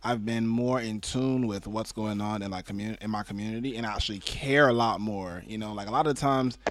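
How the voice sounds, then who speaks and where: A young man co-hosting a podcast, speaking in a reflective, halting way with many you-knows. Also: fairly low pitch. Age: young adult. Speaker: podcast co-host. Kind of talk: casual chat.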